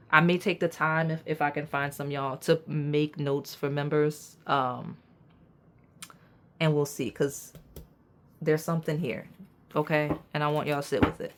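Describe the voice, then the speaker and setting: A woman doing a pick-a-card tarot reading with a raspy voice, talking straight to her viewers in an emphatic, preachy way and checking in with "okay?" and "right?". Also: low voice. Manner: casual slang, addresses viewers as y'all. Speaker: tarot reader.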